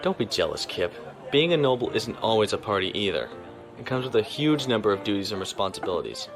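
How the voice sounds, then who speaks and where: A man's voice: fairly low pitch, very animated, quick pace, over music. An actor playing a stiff, formal young male naval officer who speaks properly and reservedly.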